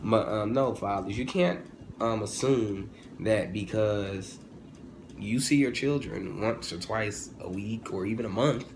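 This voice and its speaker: A man in his twenties giving a casual, humorous opinion monologue as a vlogger, animated and informal, often digressing and correcting himself. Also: on the low side, a little slow. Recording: clearly noisy, ambient background sound.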